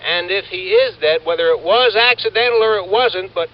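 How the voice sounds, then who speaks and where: An American man acting a young, folksy insurance agent in a 1950s radio drama, talking in a halting, rambling, informal way. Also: sits high, close to an everyday voice. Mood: worried, rambling. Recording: muffled, noisy old radio recording.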